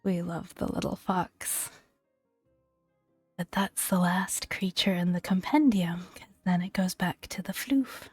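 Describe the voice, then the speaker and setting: A woman narrates in a hushed, breathy near-whisper, in the manner of soft-spoken ASMR. Her pace is very slow and her delivery gentle and soothing. The sound is close and dry, with faint music underneath. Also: on the low side, no room sound. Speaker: gaming ASMR video creator.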